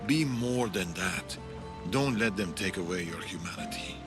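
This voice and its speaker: A solemn warrior with a deep voice in a game cutscene. He speaks gravely and earnestly, measured, over prominent music. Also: animated. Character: solemn male warrior urging mercy and humanity. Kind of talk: dramatic video game acting. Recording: music behind the voice.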